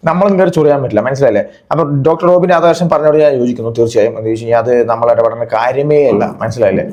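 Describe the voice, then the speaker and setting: A man's voice: mid-range voice, racing, a little mellow, dull audio. A man giving chatty, casual reaction commentary as a video host, often checking that the listener follows.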